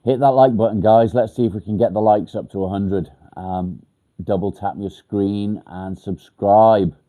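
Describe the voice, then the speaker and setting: A man's voice: lowish voice, steady tone, unhurried, narrow, tinny audio. A man from England hosts a livestream, answering viewer comments in a blunt, casual way. He sounds nasal and congested from a blocked nose. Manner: blunt, straight to the point. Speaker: livestream host.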